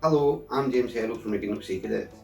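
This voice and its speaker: A man's voice: mid-range voice, fairly quick, vocal fry, over music. A man volunteer giving an earnest, modest thank-you message after winning an award. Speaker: award winner, sea cadet volunteer. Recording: echoey room.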